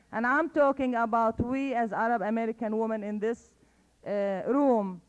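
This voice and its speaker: A woman's voice: on the high side, a little mellow. A woman speaking at a conference, making an earnest and persuasive case and often checking in with "okay?". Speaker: conference panelist. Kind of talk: impassioned public talk. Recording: dull lo-fi recording.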